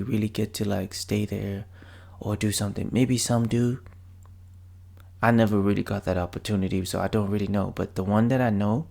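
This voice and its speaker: A man's voice: medium pitch, creaky, mellow. A man doing a rambling, slang-filled reaction commentary on a video. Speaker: reaction vlogger.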